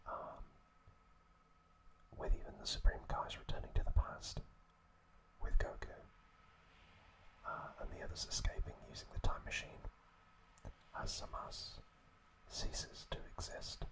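A man whispering breathily and close to the microphone as an ASMR host, recounting in a hushed, halting way. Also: low-quality recording. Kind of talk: whispered ASMR recap narration.

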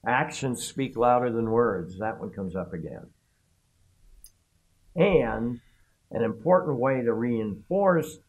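Older guy talking real slow at a graduation, stops and fixes his words a lot. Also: medium pitch, rough recording. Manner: slow, halting, with self-corrections. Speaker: commencement speaker. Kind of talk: formal speech. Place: room before a backdrop.

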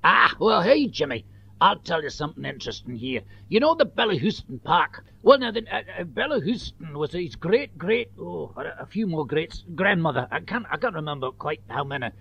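Matey Scottish rambler, gravelly, hesitant, up-and-down pitch, dull tape. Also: male voice, sing-song, normal speed, pushed and bright, dry recording, full character voice. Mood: rambling, matey. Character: talkative, rambling Scottish man, matey and cadging. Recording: dull, dry home-made tape.

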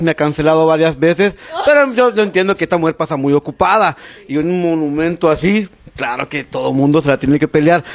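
A middle-aged Salvadoran man with a Salvadoran Spanish accent, hosting a casual interview in an effusive, playful way on a handheld microphone. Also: on the high side. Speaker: interviewer and show host. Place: small decorated room indoors.

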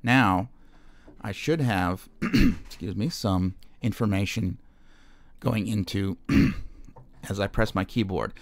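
A man presenting an informal step-by-step music software demonstration, haltingly thinking aloud as he goes. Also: fairly low pitch, no room sound. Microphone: microphone recorded straight into screen-capture software.

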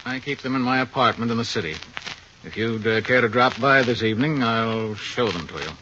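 An actor playing a formal, irritable airfield owner in a 1950 radio drama. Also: male voice, mid-range voice, fairly quick, bright, forceful tone, lightly acted. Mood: formal, guarded. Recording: muffled, noisy vintage radio recording.

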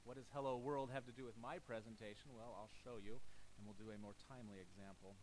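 A man's voice: lowish voice, steady tone, quick pace, a little mellow, slight background noise, low-quality recording. A middle-aged man presenting a technical talk at a lectern, talking casually as he walks through a live demo, often restarting his sentences. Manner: casual, thinking aloud with frequent restarts. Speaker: conference presenter. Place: lecture hall, at a lectern.

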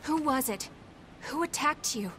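A woman's voice: sits high, expressive, unhurried, background noise. A woman playing an earnest young female fighter in a video game, direct and sincere. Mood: urgent. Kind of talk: dramatic video game dialogue.

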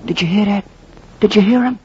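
An actor playing an excitable Brooklyn fan spinning a tall tale in slangy 1940s radio style, with a Brooklyn accent. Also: male voice, high-pitched, a little fast, close to an everyday voice. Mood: excited, boastful. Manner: colorful slangy working-class storyteller. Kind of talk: 1940s radio acting, verse-like storytelling. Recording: muffled, faintly noisy 1940s radio recording.

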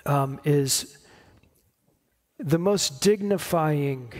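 A man in his forties preaching a sermon, explaining in measured short phrases with pauses. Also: fairly high pitch, a little mellow. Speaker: pastor preaching. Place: church stage at a lectern, indoors.

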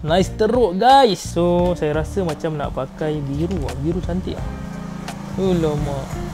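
A man's voice: fairly high pitch, measured pace. A Malaysian man in his thirties giving a casual, enthusiastic, slangy unboxing presentation into a clip-on mic. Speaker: motovlog host. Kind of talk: casual product unboxing vlog. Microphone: clip-on lapel mic. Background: music.

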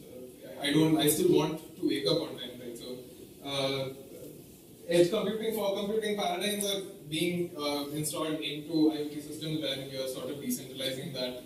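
A man's voice: a little high, fairly even intonation, moderate pace. A young man with an Indian accent giving a meetup tech presentation in a hesitant, explanatory way, with frequent filler words. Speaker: tech speaker. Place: indoor meeting room, standing by a projection screen. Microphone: picked up from a distance. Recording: very echoey room, distant mic, faint noise.

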